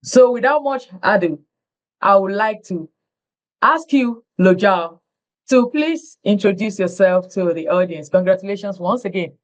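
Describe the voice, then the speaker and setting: A woman's voice: medium pitch, measured pace, poor audio. A woman hosting an interview, effusive and stretching her words for emphasis over a video call. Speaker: interview host.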